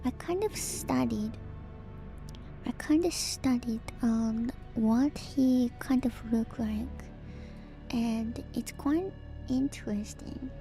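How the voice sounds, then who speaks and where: A woman giving whispered ASMR commentary as a streamer, in a soft, breathy, hushed and musing way, close to the microphone. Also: on the high side, slow pace, soft background music. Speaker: streamer, host of a lore breakdown.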